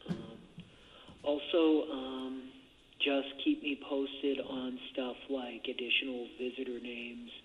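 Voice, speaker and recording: A man's voice: medium pitch, flat delivery, slow. A man chatting casually in a hesitant, rambling way over a jail phone line. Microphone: telephone handset. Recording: muffled, noisy jail phone call recording.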